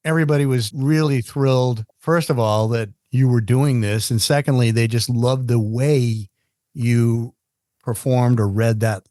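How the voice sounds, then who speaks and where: A man's voice: mid-range voice, steady tone, warm tone, no echo, studio quality. A man hosting a podcast, chatty and upbeat, talking about voice and recording. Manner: upbeat, chatty, spells out names slowly. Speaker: podcast host. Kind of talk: casual podcast interview. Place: recording studio.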